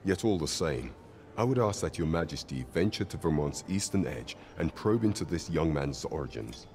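An actor playing a grave, loyal knight captain in a fantasy game, speaking formal archaic English in a measured, courtly way. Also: male voice, a bass voice, lively intonation, darkish tone, slight background noise. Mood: grave, deliberate.

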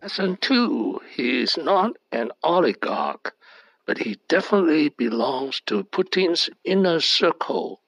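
Slow, gravelly male narrator reading slides. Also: a little high, sing-song, a bit bright, lo-fi sound. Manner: reads short fragments in a measured, deliberate cadence. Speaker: channel host.

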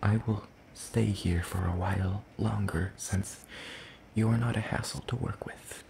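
A man voicing a gentle, curious demon in a soft-spoken ASMR roleplay, speaking formally and hesitantly. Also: on the low side, fairly even intonation, vocal fry, background noise. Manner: hesitant, formal, slow and deliberate. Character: gentle, curious, one-eyed soul-leeching demon creature.

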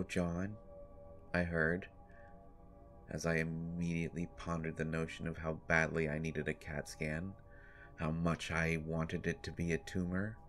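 Male actor as weary, haunted brother for horror audio monologue; unhurried, deliberate pauses, low register. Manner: halting, deliberate phrasing with long pauses. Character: haunted, sleepless, exasperated brother recounting a horror tale. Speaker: audio drama storyteller. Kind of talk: dramatic first-person horror monologue. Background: music.

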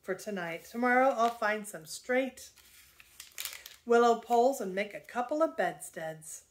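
A woman reading the lines of a kind, steady pioneer father in a storybook. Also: on the high side, slight background noise. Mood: gentle. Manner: slow and cheerful.

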